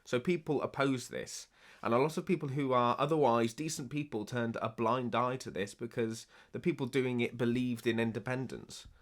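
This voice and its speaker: A man's voice: mid-range voice, fairly even intonation, normal speed. A man, speaking as an activist in a documentary interview, emphatic, frustrated and blunt.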